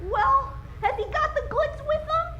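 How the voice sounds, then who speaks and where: Very high, ditzy wharf dame. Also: female voice, moderate pace, bright, forceful tone, highs cut off, a little hiss or hum. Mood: flirty, eager. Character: ditzy, flirty wharf dame, greedy for goods. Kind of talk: old-time radio comedy acting.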